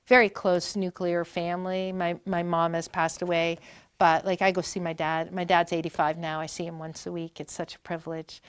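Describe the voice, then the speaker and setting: A woman's voice: fairly low pitch, little pitch movement, normal speed, clear, resonant tone, no room sound. A woman in her fifties from Maine, telling family stories in a chatty, rambling way with many fillers and restarts during an interview at a library talk. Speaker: performer and guest. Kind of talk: conversational interview storytelling.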